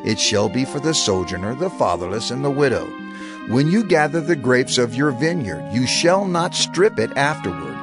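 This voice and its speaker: A solemn male lawgiver addresses his people in an audio scripture drama. His delivery is declamatory and authoritative, with lively, sweeping intonation. Music plays clearly behind his deliberate words. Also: mid-range voice. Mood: solemn, commanding. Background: background music.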